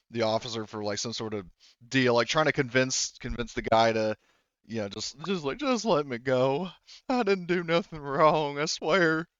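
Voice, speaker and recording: Casting: male game master, smooth tone, casual halting narration, slightly dull dry home audio. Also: fairly low pitch, a bit bright, no echo. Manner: informal, hesitant phrasing. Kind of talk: improvised tabletop game narration.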